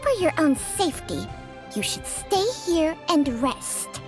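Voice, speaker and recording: An ancient female snake spirit speaks with solemn, reflective care. Her voice sits high and swings lively up and down. Children's music plays clearly behind her. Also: takes it slowly, heavily acted. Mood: concerned.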